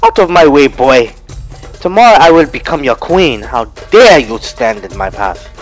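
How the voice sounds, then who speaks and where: A man's voice: fairly low pitch, normal speed, faint music behind, close to an everyday voice. A man voicing a greedy, haughty young woman who talks to others with scorn and command. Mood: scornful.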